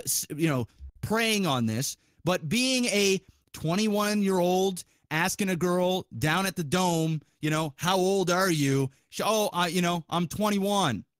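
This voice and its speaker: A man talking as a podcast host, giving a casual, opinionated monologue in an animated, rambling, profanity-heavy and argumentative way. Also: high voice, no echo, studio quality.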